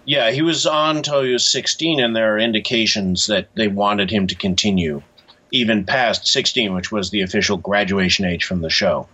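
A man answering as an interview guest in a casual, reflective and measured explanatory way. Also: fairly low pitch, steady tone, sharp tone, slightly rough, highs cut off. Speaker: interview guest, graphic novelist.

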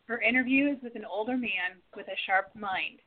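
A woman's voice: mid-range voice, normal speed. A woman narrator reading scripted scene introductions and credits for a radio play. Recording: tinny phone-like line.

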